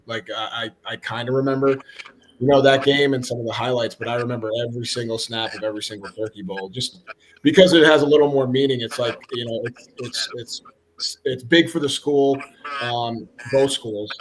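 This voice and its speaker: A man with an American accent, a high school football coach giving reflective, halting answers in a sports interview. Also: slight background noise, low-quality recording. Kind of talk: sports talk show interview.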